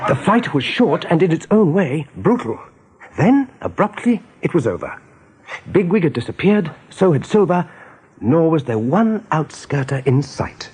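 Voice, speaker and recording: A man narrating a scripted radio drama as an all-knowing storyteller, with a husky voice and measured, deliberate delivery. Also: on the high side, big pitch swings, a little mellow, slight background noise. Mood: grave. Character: omniscient storyteller of a rabbit adventure.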